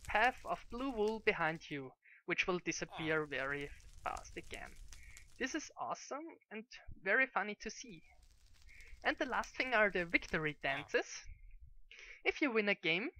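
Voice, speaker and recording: A woman's voice: medium pitch, sharp tone, a little hiss or hum, low-quality recording. A woman giving casual showcase commentary as host of a gaming video. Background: music.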